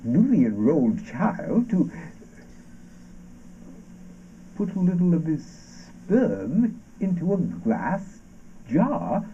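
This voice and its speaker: Male actor playing brooding absurdist monologuist, theatrical, sardonic, deliberate and slow, hugely varied pitch, noisy stage recording. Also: dark tone, highs cut off, heavily acted. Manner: halting, deliberate, dramatic swings of emphasis. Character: brooding, sardonic ranter delivering absurdist anti-war poetic monologue. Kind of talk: theatrical monologue from an avant-garde radio play adapted for stage.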